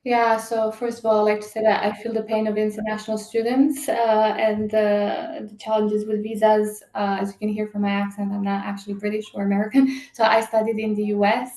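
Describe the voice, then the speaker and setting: A woman's voice: medium pitch, monotone, a little fast, hardly any pauses, darkish tone, echoey room, poor audio. A woman working as an HR and diversity leader answers a panel question hesitantly, with many fillers.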